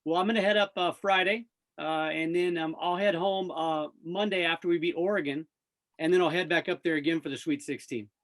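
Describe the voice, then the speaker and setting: A man with an American accent talks very quickly in a slightly high voice. He sounds like a sports broadcaster relaxing into informal, upbeat conversation as an interview guest. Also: fairly even intonation, fairly bright tone. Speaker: radio play-by-play announcer, guest. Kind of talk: casual sports interview chat.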